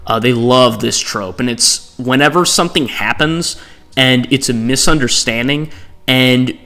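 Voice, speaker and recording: A man's voice: medium pitch, a little slow, bright, forceful tone. A man giving casual, rambling commentary as a host, with an exasperated, hyperbolic way of ranting. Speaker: host ranking visual novel protagonists.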